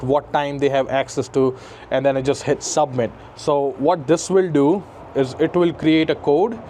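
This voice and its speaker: A man's voice: a little high, a little mellow, a little hiss or hum. A man giving a casual, explanatory walkthrough demonstration as an installer, often checking in with a short tag question. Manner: explanatory, often tags sentences with "right?". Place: on location around a residential building, lobby to parkade.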